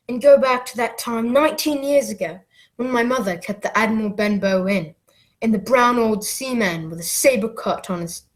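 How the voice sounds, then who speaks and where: A woman narrating a radio drama as an earnest grown man recalling his boyhood adventure, in measured, dramatic storytelling. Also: mid-range voice, lightly acted.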